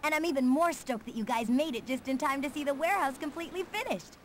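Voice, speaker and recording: A woman with an American accent playing an excitable, gushing young comic artist in a video game. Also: high voice, fast, noisy background, lightly acted. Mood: enthusiastic. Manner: gushing, slangy. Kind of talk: video game cutscene acting.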